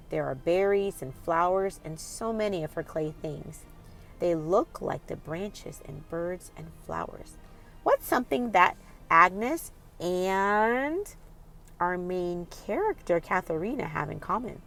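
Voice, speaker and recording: A woman's voice: lowish voice, gravelly. A woman hosting a children's read-aloud, reading expressively and putting questions directly to young listeners in an instructive way.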